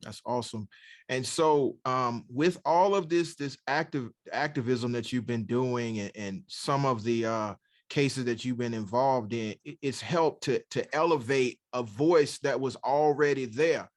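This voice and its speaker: A man's voice: mid-range voice, a little slow, dry recording. Supportive male podcast host, dry sound. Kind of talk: conversational interviewing.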